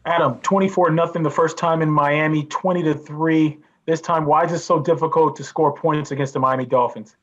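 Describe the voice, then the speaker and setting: Man, reporter, higher voice, matter-of-fact questions, muffled lo-fi sound. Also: steady tone, a little fast, hardly any pauses. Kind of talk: press conference questions.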